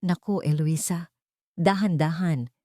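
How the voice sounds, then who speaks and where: Woman voicing a low, stern elderly mother-in-law. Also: measured pace, warm tone, no echo, clean studio sound. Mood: chiding.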